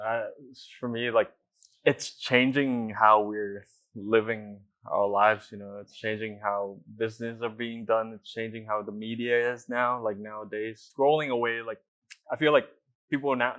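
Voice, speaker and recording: A man's voice: mid-range voice, flat delivery, moderate pace, fairly bright tone, low-quality recording. A young man, an actor, chatting in a laid-back way with chuckles and loose fillers. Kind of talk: casual interview chat.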